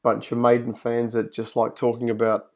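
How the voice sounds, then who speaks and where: A man's voice: medium pitch, completely flat delivery, moderate pace, darkish tone. A man hosting a podcast, chatting casually and rambling with lots of fillers and restarts over a video call. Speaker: podcast host. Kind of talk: casual chat.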